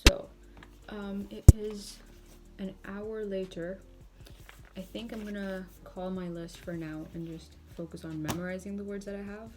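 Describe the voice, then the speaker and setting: A woman's voice: medium pitch, fairly even intonation, measured pace. A woman in her twenties from Saudi Arabia, talking casually to camera in a chatty, self-deprecating way. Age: 20s. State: tired. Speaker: vlogger. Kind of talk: casual vlog talk to camera.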